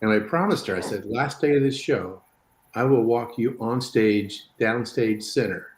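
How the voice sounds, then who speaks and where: A man telling a brisk, casual anecdote about a former castmate. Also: mid-range voice. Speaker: guest, former crew member. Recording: roomy echo.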